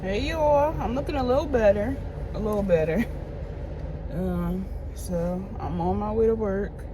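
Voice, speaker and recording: A woman's voice: medium pitch, moderate pace. A woman vlogging while driving to work, chatting casually with lots of ums and restarts. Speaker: medical assistant vlogger. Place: inside a moving car. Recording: loud car road noise. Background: car and road noise.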